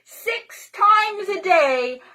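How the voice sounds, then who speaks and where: A woman's voice: very high-pitched, lively intonation, extremely slow, bright, forceful tone, voice pushed up, heavily acted. A woman voicing a bold, playful young girl with squealing, exaggerated delivery. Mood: gleeful.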